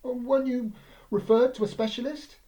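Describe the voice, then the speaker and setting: Man putting on a high-pitched, fretful hypochondriac brother. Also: fairly even intonation, a little fast, dark tone. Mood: worried. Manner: worried, stammering questions. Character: anxious hypochondriac brother fretting over his symptoms.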